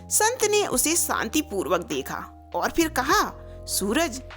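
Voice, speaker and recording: Woman telling a moral story, highish voice, really up and down, takes it slow. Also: wildly swooping pitch, fairly bright tone, faint music behind. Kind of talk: scripted moral story narration.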